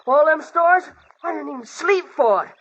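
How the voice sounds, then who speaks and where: An actor playing a naive young backwoods prospector in a radio western, guileless and excitable, with a rural American accent. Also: male voice, extremely high pitch, moderate pace, exaggerated voice. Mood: wildly excited. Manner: excitable, guileless, rustic grammar. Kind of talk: old-time radio western acting. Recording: dull vintage radio recording.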